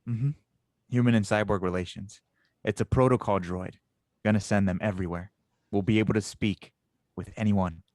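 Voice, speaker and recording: A man's voice: lowish voice, fairly even intonation, normal speed, pauses often, darkish tone, no echo, clean studio sound, natural voice. A man playing an earnest, teasing inventor in a fan audio drama table read. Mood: playful, upbeat. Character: warm, teasing male inventor, reunited with an old friend.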